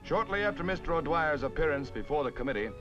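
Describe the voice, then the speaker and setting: A male American newsreel narrator from the 1950s with a highish, very animated voice. He delivers the script in punchy, dramatic fashion, on a dull old soundtrack with music behind him. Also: fast.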